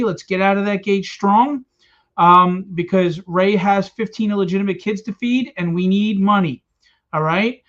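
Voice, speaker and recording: Joking, upbeat male livestream host. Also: sits high. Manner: joking, teasing, hyped. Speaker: host and comic publisher. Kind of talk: casual livestream hosting. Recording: dull lo-fi stream audio.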